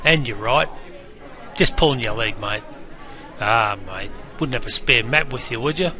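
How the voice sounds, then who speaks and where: Guy doing a matey aussie bartender, broad accent, loads of slang, cheeky, mid pitch, noisy crowd in back. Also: measured pace, sharp tone. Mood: cheeky, welcoming. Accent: broad Australian. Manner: jokey, teasing, heavy slang. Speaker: bartender guide. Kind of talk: interactive game character patter. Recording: busy crowd noise behind. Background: crowd chatter.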